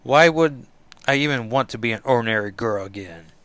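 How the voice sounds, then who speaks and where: A man voicing a teenage girl sorceress, resigned and solemn about her dark destiny. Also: mid-range voice, expressive, a little fast, no room sound, close to an everyday voice. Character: sorrowful teenage sorceress queen.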